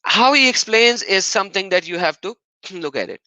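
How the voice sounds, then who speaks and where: A man's voice: high-pitched, expressive, moderate pace, pushed and bright, dry recording, poor audio. A man hosting an argumentative live stream on religion, often mocking and repeating phrases for emphasis.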